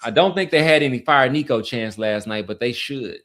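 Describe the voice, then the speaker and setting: Male podcast panelist, average pitch, conversational sports talk, pushes back and restates his points. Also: normal speed, crowd noise. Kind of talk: casual sports debate.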